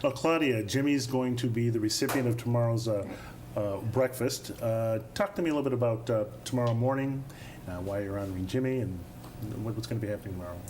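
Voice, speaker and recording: A man hosting a studio interview show, introducing guests and asking questions in a measured way with frequent fillers. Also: medium pitch, background noise. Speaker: TV talk show host.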